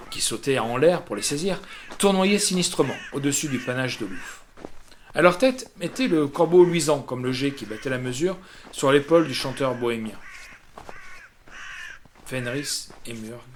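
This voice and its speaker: A man narrates a gothic tale over prominent guitar music. His mid-pitched voice is measured and dramatic, shaped like an expressive audiobook reading with swells and hushed drops. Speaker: audiobook reader.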